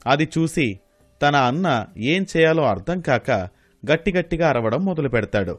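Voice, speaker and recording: A man's voice: a little high, animated, moderate pace, no echo, soft background music. A man reading scripted narration for a moral story.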